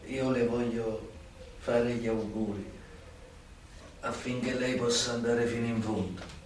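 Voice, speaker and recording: An actor playing an old, humble, repentant male mobster turned informant in Italian radio theatre, halting, plain-spoken and grave. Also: medium pitch, fairly even intonation, slight background noise, natural voice. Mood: humble, fervent. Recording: very echoey, distant, faint music behind.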